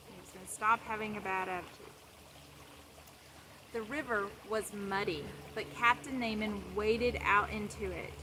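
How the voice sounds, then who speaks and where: A woman with a fairly high voice reads a children's Bible story aloud. She explains things simply and repeats phrases for young listeners. There is clear, steady noise behind her.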